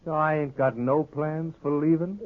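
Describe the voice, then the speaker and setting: A man's voice: a little high, steady tone, normal speed, close to an everyday voice. An American actor playing a sly, cold-blooded gunman in a 1950s radio Western, with a mocking drawl. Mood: defiant, scornful. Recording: muffled, narrow-band old radio recording.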